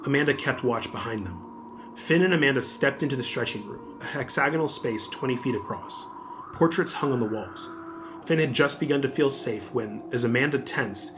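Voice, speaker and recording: A man's voice: medium pitch, background noise. A man narrating a scripted adventure audiobook as the storyteller.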